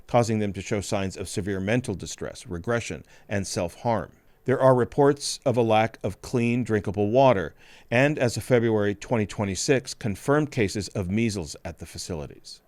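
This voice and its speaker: A male podcast host reading a scripted news report in a grave, measured way. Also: on the low side, darkish tone, no echo.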